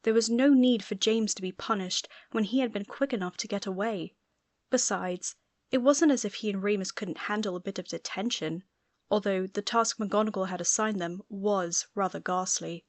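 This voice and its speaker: A woman narrates a story as a scripted audiobook. Her voice sits at a medium pitch, in a close recording with no room sound. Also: moderate pace, dry recording.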